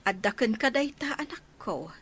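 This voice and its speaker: A woman playing a caring village mother who advises her daughter, gently probing, with an Ilocano accent, in a radio drama. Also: a little high, animated, moderate pace, breathy edge. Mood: advising.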